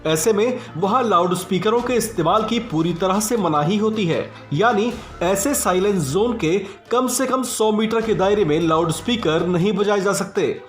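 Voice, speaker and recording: A man with a notably high voice reads a scripted news report. His delivery is emphatic and rhetorical, in the manner of a presenter. The voice sounds clearly roomy, with music playing behind it. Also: moderate pace, few pauses.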